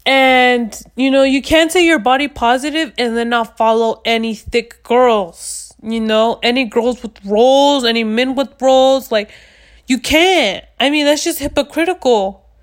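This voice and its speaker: Woman in her twenties, podcast host, loud and blunt, swears a lot, fairly high voice. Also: measured pace. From United States. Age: 20s. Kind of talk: casual chat.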